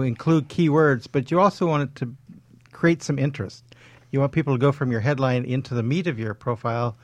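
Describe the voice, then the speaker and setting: A man's voice: mid-range voice, no echo. A man speaking as an expert guest on a talk show, explaining things conversationally.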